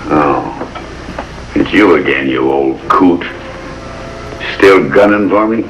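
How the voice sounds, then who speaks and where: An actor playing an older, gruff but kindly country doctor in a 1950s television drama, plain-spoken and wry. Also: male voice, sits low, slow pace, fairly bright tone. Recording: noisy vintage 1950s television soundtrack.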